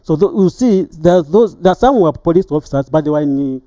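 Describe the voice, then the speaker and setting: A middle-aged man with a Zambian accent recounting his experiences as a retired referee in an interview, halting and repeating words as he goes. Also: on the high side, animated, dark tone, a little hiss or hum.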